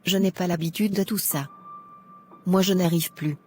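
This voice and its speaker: A woman playing a discontented, regretful but resolute young rich girl in an animated drama. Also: fairly low pitch, normal speed, slight background noise, natural voice. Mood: complaining.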